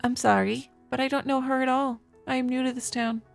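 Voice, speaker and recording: Woman reading as a lovesick, creaky-voiced young baroness. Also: high-pitched, steady tone, a little fast, faint music behind. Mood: wary. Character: young baroness, wary, lovesick, pining for her husband.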